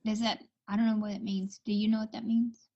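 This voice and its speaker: A woman hosting a call-in tarot show, giving reassuring advice in a relaxed, chatty way. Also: mid-range voice, brisk, creaky, lo-fi sound. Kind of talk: casual advice chat.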